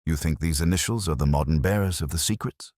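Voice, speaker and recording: Guy narrator as a thoughtful detective's companion, real deep voice, earnest, bit tentative, dry sound. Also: fast, a little mellow, no echo. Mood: earnest, surmising.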